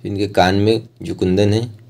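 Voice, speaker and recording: An Indian man teaches in a low-pitched voice with a dark, relaxed tone. He narrates each step informally, pausing between short phrases as he goes. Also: vocal fry. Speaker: rangoli art tutor.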